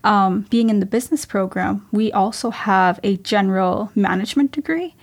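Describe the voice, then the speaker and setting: Young woman hosting a podcast, breathy. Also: mid-range voice, moderate pace. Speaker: podcast host. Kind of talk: hosting a university podcast interview.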